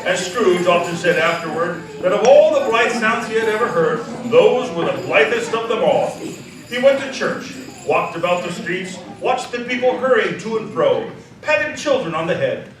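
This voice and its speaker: A man's voice: sits high, a bit bright, a little hiss or hum. A man reading scripted storytelling narration as a narrator in a live drama. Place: live theatre stage. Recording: very echoey stage from far off.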